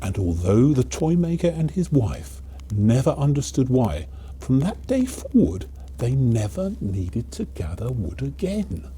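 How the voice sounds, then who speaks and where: A man narrating a children's storybook in an expressive, theatrical, measured way. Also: medium pitch, slight background noise.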